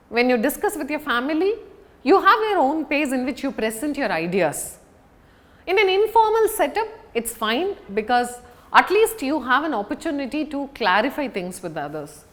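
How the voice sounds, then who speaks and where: A woman giving a public speaking lesson as an instructor, speaking deliberately and emphatically with dramatic pauses. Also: sits high. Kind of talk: scripted lesson to an audience on video.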